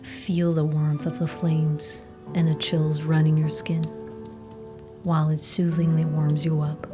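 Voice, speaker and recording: A woman narrating a scripted guided meditation in a soothing, hushed, soft and breathy manner. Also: deep voice, completely flat delivery. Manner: soothing, slow, hushed delivery. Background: meditation music.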